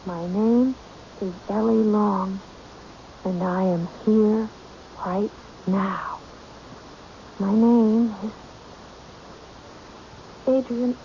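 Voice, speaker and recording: A woman's voice: medium pitch, steady tone, mellow. An actress playing a timid, naive and obedient woman who speaks hesitantly, in old-time radio mystery style. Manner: hesitant, meek, halting. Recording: dull, noisy old radio recording.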